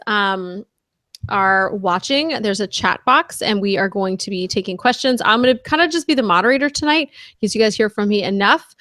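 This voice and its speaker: A woman's voice: a little high, fairly bright tone, no echo. Bubbly woman moderating an online panel. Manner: bubbly, upbeat, laughs often. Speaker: moderator and host. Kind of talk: hosting an online roundtable.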